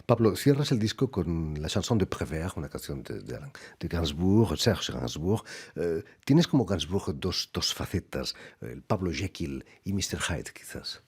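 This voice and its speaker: A man's voice: sits low, big pitch swings, unhurried, hardly any pauses, darkish tone, breathy edge. A man hosting a radio interview, asking probing, leading questions. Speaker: radio host. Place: radio studio.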